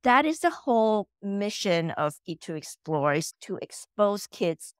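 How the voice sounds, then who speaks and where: Woman from Malaysia, middle-aged, animated guest, dry close sound. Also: mid-range voice, measured pace, clean tone, dry recording. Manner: animated, repeats words when starting sentences. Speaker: guest, company founder. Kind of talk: casual interview chat. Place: home room, video call.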